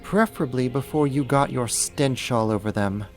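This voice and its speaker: A man's voice: mid-range voice, normal speed, faint music behind, natural voice. A man playing a slight, possessive, vain vampire in a roleplay audio drama, sarcastic, haughty and fussy. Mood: jealous, threatening. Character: puny snarky jealous male vampire, possessive and vain.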